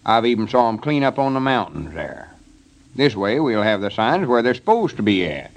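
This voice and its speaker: An actor playing a proud, folksy small-town storekeeper and schemer with a rural Southern US accent, in 1930s radio comedy style. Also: male voice, medium pitch, big pitch swings, normal speed, gravelly. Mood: boastful. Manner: folksy, self-satisfied explaining.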